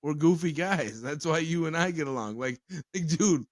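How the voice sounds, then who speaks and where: A man's voice: fairly high pitch, animated, normal speed, no echo, studio quality. A man hosting a casual podcast chat who rambles, swears freely and laughs often. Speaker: podcast host.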